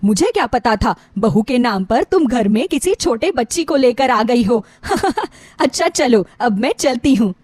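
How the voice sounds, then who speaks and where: A woman's voice: on the high side, animated, a little slow, darkish tone, husky, dry recording, clean studio sound. A woman voicing mocking middle-aged neighbour gossips in a story, taunting with false sweetness. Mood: teasing. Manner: taunting, mock-sweet, exclaiming.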